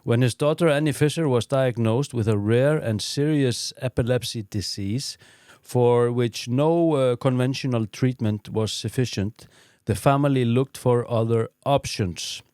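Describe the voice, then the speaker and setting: Male podcast host, measured, mid pitch, dry close sound. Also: a little mellow, smooth, no room sound. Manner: measured, with frequent listening murmurs. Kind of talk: interview hosting.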